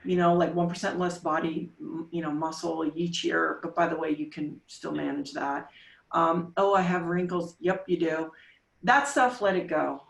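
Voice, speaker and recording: A woman in her forties, a podcast guest, talking casually with emphatic, coaching punch and quick rhetorical questions. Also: sits low, steady tone, gravelly, far from the mic. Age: 40s. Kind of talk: casual interview talk. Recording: roomy sound, voice off-mic.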